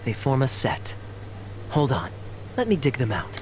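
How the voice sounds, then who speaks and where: Suave, teasing knight, animated delivery. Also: male voice, mid-range voice, unhurried, background noise. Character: suave, teasing young male knight, easygoing and sly. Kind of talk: video game voice acting.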